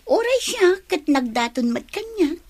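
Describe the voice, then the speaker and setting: High, slow, teasing young Ilocano woman acted. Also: big pitch swings, darkish tone, dry recording, full character voice. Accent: Ilocano Filipino. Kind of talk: Filipino radio drama acting.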